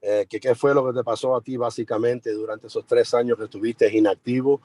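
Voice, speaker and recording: A man's voice: mid-range voice, fairly even intonation, fast talker, a little mellow, no echo. A man interpreting for a boxer on a media call in an informal, colloquial way. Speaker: boxer's representative and translator. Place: remote media conference call.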